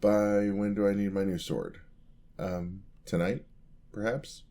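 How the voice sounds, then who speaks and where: A man's voice: lowish voice, fairly even intonation, slow pace, mellow. A man playing a clumsy, earnest young paladin apprentice in a fantasy ASMR roleplay, with a hesitant, bashful, polite stammer. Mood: earnest, pleading.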